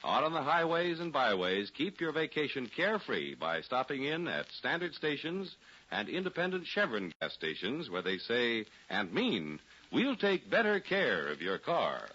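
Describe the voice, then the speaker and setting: A man's voice: mid-range voice, wildly swooping pitch, normal speed, pushed and bright, breathy edge. A male radio announcer reading scripted narration and advertising in a polished, emphatic 1940s style. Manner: polished, emphatic salesman delivery. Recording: dull 1940s radio recording with music.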